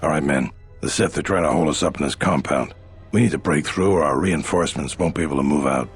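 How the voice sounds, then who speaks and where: A man's voice: very deep voice, fast talker, husky, heavily acted. A man playing a commanding military squad leader rallying his soldiers in a video game. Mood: urgent, commanding. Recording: music behind the voice.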